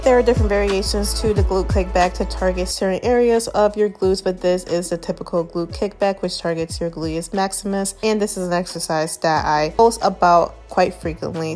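A woman's voice: mid-range voice, darkish tone. A young adult woman and fitness coach, giving an instructive, step-by-step voice-over about workouts and food.

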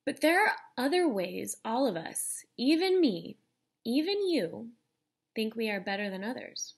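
Slow-paced woman reading to children. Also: fairly high pitch, vocal fry, breathy edge. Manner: deliberate, expressive, addressing young listeners directly. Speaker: teacher reading aloud. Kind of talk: children's picture book read-aloud.

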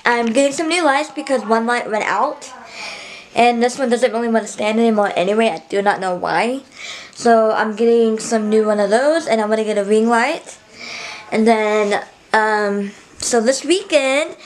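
A young woman chats to her camera from a small bedroom, rambling along with plenty of ums and restarts. Her voice sits a little high, and the handheld camera stays close to her face. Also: slight background noise. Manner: rambling, chatty, many fillers and restarts. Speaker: vlogger. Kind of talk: casual vlog chat to camera.

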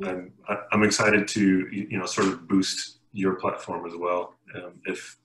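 A man moderates an online panel in a low, forceful voice. He phrases things haltingly and tentatively. The room rings slightly around him over the video call. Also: little pitch movement, a little hiss or hum. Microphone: headset. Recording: roomy, echoey video-call audio.

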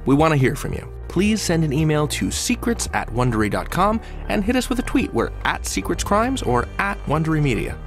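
A man's voice: on the high side, brisk, few pauses, soft background music. Man reading podcast outro promos. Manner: friendly, even promo read. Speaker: podcast host. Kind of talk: scripted outro announcements.

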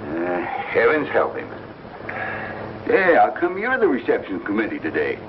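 A man's voice: fairly low pitch, huge pitch swings, normal speed, noisy background. A man playing a folksy, teasing frontier townsman in a vintage television western, with drawling exclamations. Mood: nosy teasing. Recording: muffled old TV soundtrack with music.

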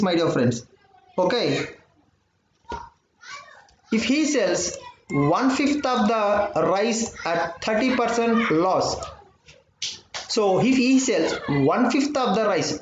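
A man with an Indian English accent teaching a live online math class. He repeats phrases and keeps asking whether his listeners understood. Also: sits high. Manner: repeats phrases, keeps saying "my dear friends" and "understood or not". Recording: dull, roomy audio with faint noise.

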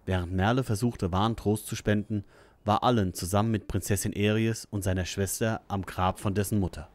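Low-voiced male story narrator. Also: fairly even intonation, normal speed, a little mellow, no room sound. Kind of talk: scripted audio drama narration. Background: music.

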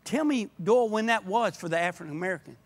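A man's voice: high voice, lively intonation, fast talker. An older man speaking earnestly and passionately as a church member in a podcast conversation. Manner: passionate, emphatic. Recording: podcast audio.

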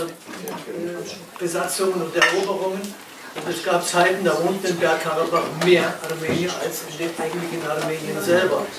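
An older man hosting a literary salon, speaking informally and a little haltingly to his guests. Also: high voice, far from the mic, heavy background noise. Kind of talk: informal introduction and explanation to an audience. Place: crowded living room, indoors. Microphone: distant camera microphone. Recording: echoey crowded room, picked up from afar.

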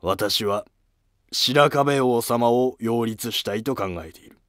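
A man's voice: medium pitch, slow, fairly bright tone, no echo, studio quality. A man playing a guarded court nobleman in a historical drama, with stiff, archaic, formal speech. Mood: reserved, firm.

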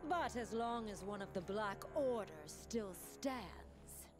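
A ruthless alien warrior queen in a video game. She speaks slowly and haughtily, with sweeping pitch, over loud music. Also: animated. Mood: proud threat. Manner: haughty, declamatory. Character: imperious alien warrior woman, proud, ruthless commander. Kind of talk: video game villain acting.

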